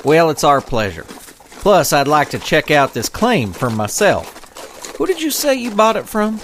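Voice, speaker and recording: A man's voice: a little high, wildly swooping pitch, a little slow, fairly bright tone, a little hiss or hum, heavily acted. A man playing a good-natured, skeptical western lawman fish in a comic audio drama, with folksy, hesitant phrasing. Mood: polite, curious.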